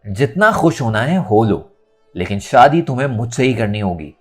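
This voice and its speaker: A man's voice: mid-range voice, very animated, moderate pace, husky. A man voicing a domineering, scornful man in a dramatic audiobook, speaking in a threatening, mocking manner. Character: domineering, scornful man forcing a woman into marriage. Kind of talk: dramatic audio novel reading. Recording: music under the voice.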